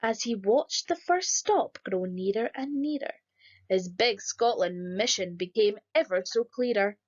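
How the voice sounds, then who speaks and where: A woman reading a rhyming children's picture book aloud in a lively, sing-song storytelling manner. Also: mid-range voice, unhurried, highs cut off. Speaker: storybook reader.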